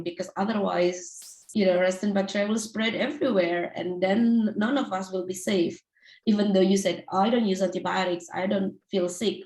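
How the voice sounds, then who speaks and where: A woman's voice: on the low side, gravelly, darkish tone, roomy sound, rough recording. A woman in her thirties from Indonesia, a company founder giving an informal talk and often restarting her phrases. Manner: halting, restarts phrases, fillers like "like" and "you know". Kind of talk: informal presentation.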